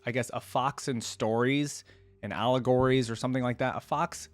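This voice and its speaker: A man's voice: mid-range voice, dry recording. A man giving casual, unscripted commentary as a reaction channel host, rambling and thinking aloud as he goes.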